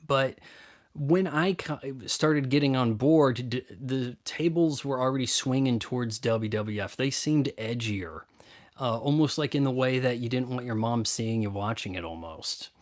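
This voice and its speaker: A man's voice: mid-range voice, unhurried. A man hosting a live stream, casually answering viewer questions in a blunt, opinionated, rambling way.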